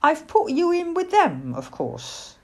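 A woman voicing a sweet, kindly young lady in a children's story, speaking gently and politely. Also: high voice, huge pitch swings, slow pace, pitched above the performer's own voice, heavily acted.

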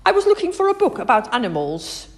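Woman voicing an eager, high-pitched young schoolgirl. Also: wildly swooping pitch, fast talker, full character voice. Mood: polite. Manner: earnest, exclaiming. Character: excited, eager young schoolgirl who loves hedgehogs.

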